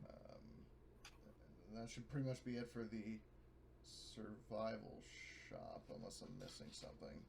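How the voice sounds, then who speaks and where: A man's voice: fairly low pitch, gravelly, darkish tone, a little hiss or hum. A man talking casually as a player in a tabletop game, hesitant and thinking aloud.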